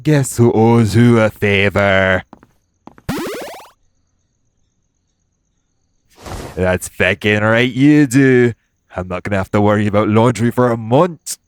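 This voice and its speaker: Low-voiced, cocky hitman played by a man. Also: measured pace, smooth, dry recording, natural voice. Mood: gloating. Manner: cocky, swearing, teasing. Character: cocky, teasing, foul-mouthed male hitman who loves betting. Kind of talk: audio roleplay acting addressed to the listener.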